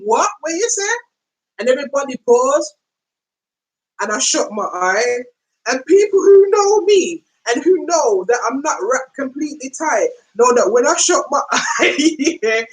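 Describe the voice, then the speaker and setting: A woman with a London accent telling a story in a casual, slangy monologue, acting out quoted speech in slow, deliberate tones. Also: sits high, low-quality recording. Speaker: podcast host.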